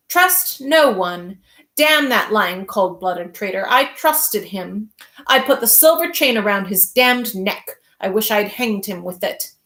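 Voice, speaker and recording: A woman reading aloud and voicing an unhinged, arrogant male king who rants in a shrill voice and snarls out insults. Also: fairly bright tone, roomy sound, full character voice. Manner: ranting, snarling, spitting out insults.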